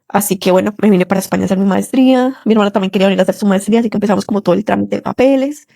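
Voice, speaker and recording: Creaky-voiced young Colombian woman giving testimony. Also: mid-range voice, rapid-fire, warm tone, no room sound, clean studio sound. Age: young adult. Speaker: listener sharing a testimony. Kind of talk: personal spoken testimony.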